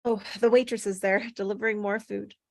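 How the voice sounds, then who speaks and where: A woman's voice: mid-range voice, steady tone, a little fast, creaky, dry recording, clean studio sound. A woman reading stage directions aloud at a table read, plainly descriptive, with occasional stumbles over the words.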